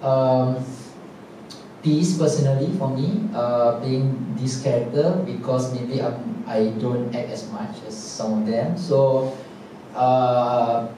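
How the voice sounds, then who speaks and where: A man from a theatre company speaking on a panel in a measured, hesitant way. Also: medium pitch, steady tone, mellow, slight background noise. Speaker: theatre company member and actor. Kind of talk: casual panel chat. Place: indoor theatre stage. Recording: echoey theatre, distant mic.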